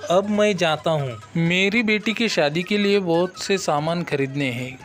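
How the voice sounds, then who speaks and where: Guy playing a wronged merchant dad in a cartoon, pretty high voice, music low behind. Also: unhurried, a bit bright. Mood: aggrieved. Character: aggrieved robbed merchant, a bride's father. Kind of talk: Hindi cartoon story dubbing.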